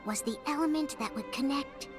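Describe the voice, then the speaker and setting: A woman narrating a game prologue in a measured fairy-tale storytelling manner. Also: sits high, close to an everyday voice. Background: game music.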